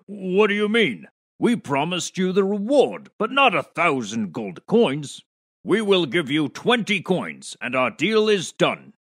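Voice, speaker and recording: A man's voice: high voice, sing-song, takes it slowly, fairly bright tone, full character voice. A man playing a stingy, scheming older town mayor in a children's story, pompous and dismissive.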